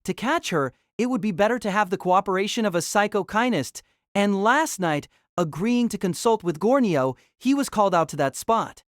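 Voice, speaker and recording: A woman narrates a scripted light novel with animated intonation. Her pitch moves freely around a middle register. The sound is dry and close, with no room around it. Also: moderate pace, no room sound. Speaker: audio drama narrator and reader.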